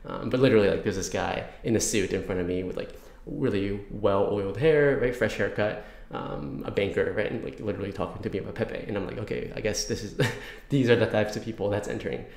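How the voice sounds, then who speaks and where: A young man talks over a screen share in a small room, his voice raspy and gravelly after being sick. He rambles casually with lots of fillers, sitting lowish in pitch, and the room gives a noticeable echo. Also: a little fast, a little mellow. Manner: loose, rambling delivery full of fillers. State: raspy from recent sickness. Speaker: crypto channel host. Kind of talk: casual market commentary.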